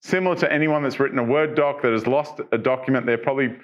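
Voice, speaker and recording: A middle-aged man presenting tech news on a studio stage, talking casually through software features, asking viewers questions, with frequent ums and restarts. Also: mid-range voice, quick pace, lo-fi sound. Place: studio stage with dark backdrop.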